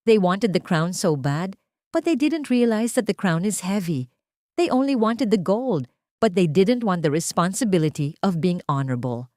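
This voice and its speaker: A woman narrator plays a proud company queen in an audio drama. She is cool and measured, with a slightly low voice in a dry recording. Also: sing-song, darkish tone, dry recording. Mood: cool, bitter. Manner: cool, measured, mixing Tagalog and English. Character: Shen Ning, proud composed wronged wife turned company boss. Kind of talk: audio story dialogue.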